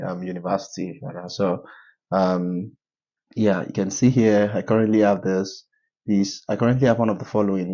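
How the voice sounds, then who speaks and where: A man walking viewers through a form in a tutorial, giving advice in a halting way with many filler sounds. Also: sits low, dark tone, highs cut off. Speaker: scholarship advice YouTuber. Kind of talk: screen-share walkthrough tutorial.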